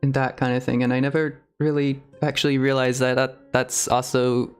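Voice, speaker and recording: A man chatting casually on a livestream in a halting, rambling, giggly way. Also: medium pitch, flat delivery, smooth. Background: video game music.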